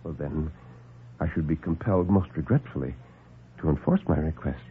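An actor playing a calm, superior doctor villain who speaks quietly and politely while he threatens. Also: rumbling low, moderate pace, full character voice. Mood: suave. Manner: smooth, quiet, politely menacing. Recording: tinny old radio broadcast.

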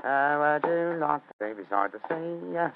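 Cheery Cockney workman, pitched up, familiar and cheeky, old muffled radio-play sound. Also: expressive, moderate pace, creaky, slight background noise. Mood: breezy, jovial. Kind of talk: old radio mystery acting.

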